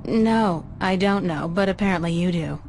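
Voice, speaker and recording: A woman's voice: fairly low pitch, normal speed, a little mellow, a little hiss or hum, close to an everyday voice. A young woman voicing a weary, wry, easygoing art student in a video game, with a casual, understated delivery. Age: young adult. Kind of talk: conversational video game voice acting.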